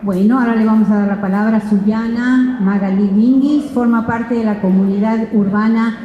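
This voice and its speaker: A woman's voice: on the high side, fairly even intonation, dark tone. A woman moderating a panel, introducing the next speaker by running through her credentials. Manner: reads credentials in a quick list. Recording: dull, echoey hall with long reverb.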